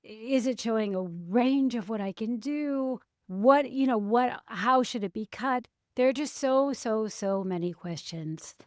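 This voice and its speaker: A woman hosting a podcast, asking questions in a warm, encouraging, reactive way. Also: on the high side, a little slow, few pauses, dry recording, studio quality. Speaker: podcast host. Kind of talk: casual interview chat.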